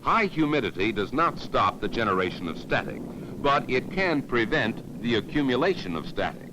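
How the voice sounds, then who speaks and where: A man giving formal, measured, didactic narration in the scripted style of a 1950s educational safety film. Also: medium pitch, sing-song, background noise.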